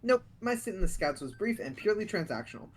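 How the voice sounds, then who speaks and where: A man voicing a dry, sarcastic teenage daughter in a video game, with a flat deadpan delivery. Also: high voice, fast talker, soft background music.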